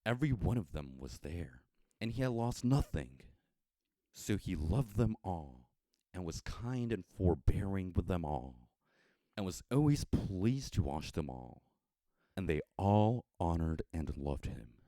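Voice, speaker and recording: An American man reading a literary story in a measured, solemn manner. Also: low-pitched, very animated, dark tone, dry recording. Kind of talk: scripted storybook narration.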